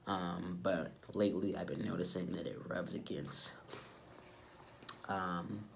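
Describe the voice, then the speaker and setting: A young man with a low-pitched voice talks casually to viewers in a personal vlog. He sounds sick and stuffed up, with a nasal congestion and a subdued energy. There is noticeable noise in the recording. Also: fairly even intonation, slow pace, gravelly. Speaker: vlogger. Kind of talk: casual update vlog talk to camera.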